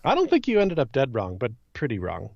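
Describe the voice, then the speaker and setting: A man's voice: mid-range voice, wildly swooping pitch, brisk, vocal fry, no echo, dull audio. A man talking casually on a podcast in an informal discussion. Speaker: podcast co-host or guest. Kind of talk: casual chat.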